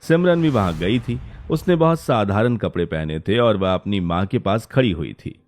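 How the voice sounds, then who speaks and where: A man's voice: fairly low pitch, lively intonation, normal speed, a little mellow, slight background noise. A man narrating a scripted Hindi cartoon story as its storyteller.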